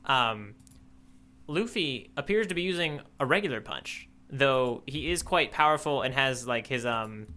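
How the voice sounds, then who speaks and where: A man's voice: mid-range voice, animated, measured pace, bright, forceful tone. A man co-hosting a podcast, chatting casually and enthusiastically, rambling as he searches for his words. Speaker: podcast co-host. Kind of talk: casual manga discussion chat.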